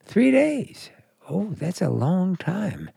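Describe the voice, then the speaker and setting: A folksy, forward hotelkeeper in a radio drama. He talks slowly in a creaky, very lively voice, casual and flirtatious. The sound is close and dry. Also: high-pitched, dry recording, heavily acted. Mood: chatty, probing. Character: folksy, forward middle-aged hotelkeeper, a flirtatious male stranger. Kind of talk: radio play acting.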